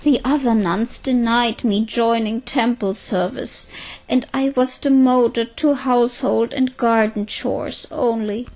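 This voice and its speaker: A woman's voice: on the high side, steady tone, takes it slowly, a little mellow. A woman voicing a sorrowful outcast turtle-spirit nun in an audio drama, speaking plaintively. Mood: hurt, sad.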